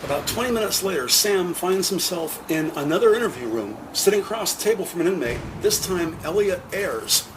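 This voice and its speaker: A man's voice: high voice, animated, brisk, hardly any pauses, background noise. A man reading scripted narration for an audio crime drama. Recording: prominent music under the voice. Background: music.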